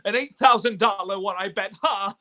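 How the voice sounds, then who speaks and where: Man acting a high, bright-voiced impassioned family man. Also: normal speed. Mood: overjoyed, giddy. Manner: stammering, impassioned, breathless pleading. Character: frantic, despairing then overjoyed family man. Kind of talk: live radio play acting.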